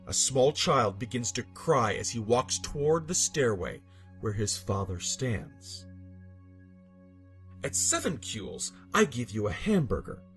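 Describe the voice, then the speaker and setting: A man's voice: mid-range voice, big pitch swings, slow pace, fairly bright tone. A man giving a dramatic scripted narration of absurd fiction, with a theatrical, emphatic delivery. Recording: music bed behind narration. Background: background music.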